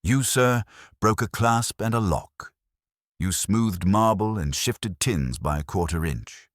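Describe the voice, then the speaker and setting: A man's voice: on the low side, animated, slow, no room sound, clean studio sound, voice pushed up. A man voicing a stern, imperious detective genius in an audiobook, with a pompous, formal and accusatory way of speaking. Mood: accusing, commanding.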